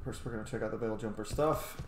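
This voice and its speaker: A man's voice: on the low side, fast talker. A man giving chatty, casual video game commentary, thinking aloud and swearing now and then. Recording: roomy echo, light background noise.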